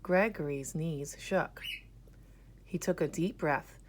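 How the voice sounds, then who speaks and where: A woman's voice: low voice, takes it slowly, slight background noise. A woman reading a children's picture book aloud as a storyteller. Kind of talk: scripted children's story narration.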